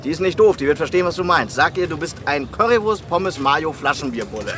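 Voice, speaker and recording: Blunt buddy in street noise. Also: male voice, a little high, lively intonation, quick pace, fairly bright tone. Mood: needling. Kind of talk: crime radio drama acting.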